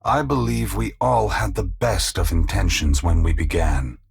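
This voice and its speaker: An actor playing a weary, compassionate god in a video game, speaking in a grave, measured, formal way with a gravelly, husky voice. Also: male voice, deep voice, expressive, heavily acted. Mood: grave, regretful. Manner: slow, measured, philosophical, formal. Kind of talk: video game voice acting, dramatic dialogue.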